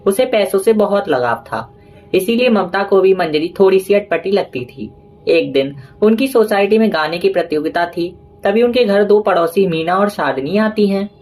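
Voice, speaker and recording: A woman's voice: mid-range voice, expressive, moderate pace, darkish tone. A woman narrator reading a scripted story in a storytelling style.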